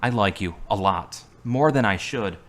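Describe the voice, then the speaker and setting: A guarded young man, as a man acts him out, speaking flat and terse in a lowish voice. His replies come short and blunt, holding people at arm's length. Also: unhurried, lightly acted.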